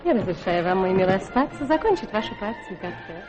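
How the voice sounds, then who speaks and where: An actress playing a charming, ambitious, coquettish society wife in a radio play, with an airy, theatrical, artful manner. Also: female voice, mid-range voice, sing-song, a little fast, lightly acted. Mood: coquettish, weary. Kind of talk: Russian radio play acting. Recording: dull radio play recording, music behind.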